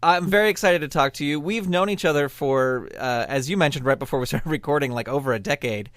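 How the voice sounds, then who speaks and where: A man's voice: fairly high pitch, fast, a bit bright, no room sound. A man hosting an interview podcast, reading a scripted intro and then asking questions with many fillers. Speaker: podcast host. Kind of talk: interview host.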